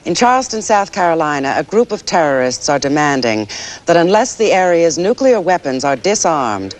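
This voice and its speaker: A woman's voice: low-pitched, big pitch swings, moderate pace. An actress playing a serious female network news anchor reading a scripted bulletin in a 1980s TV drama. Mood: grave.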